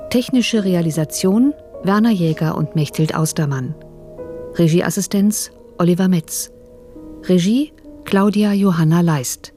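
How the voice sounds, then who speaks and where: A woman's voice: on the low side, measured pace, dark tone, faint music behind. A woman reading a scripted radio announcement of credits in a composed, formal manner.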